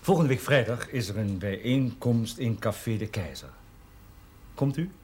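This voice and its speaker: An actor playing a businesslike, persuasive man in a Dutch period television drama, speaking formally and with measured care. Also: medium pitch, expressive, darkish tone, slight background noise, natural voice. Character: businesslike, persuasive man promoting a mining land deal.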